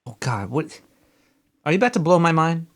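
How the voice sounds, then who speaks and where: A man's voice: fairly high pitch, very animated, moderate pace. A man hosting a casual call-in show, joking and distracted as he searches for things online. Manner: joking, distracted, trash-talking. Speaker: radio show host.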